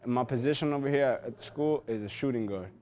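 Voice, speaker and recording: Young man with a mid-pitched voice. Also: fast, darkish tone. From New Jersey, USA. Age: young adult. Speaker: college basketball player. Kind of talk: self-introduction testimonial.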